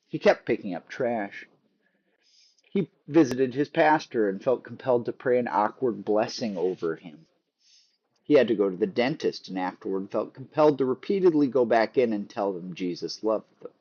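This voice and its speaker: Male narrator, low and mellow, measured book read-aloud with dry wit, slightly dull recording. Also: slightly rough. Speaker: author reading a book aloud.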